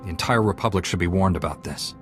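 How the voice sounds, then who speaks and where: Low-voiced steadfast young hero knight. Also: male voice, quick pace, fairly bright tone, faint music behind. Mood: determined. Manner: earnest, determined. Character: steadfast, heroic young male Jedi knight. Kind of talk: scripted video game dialogue.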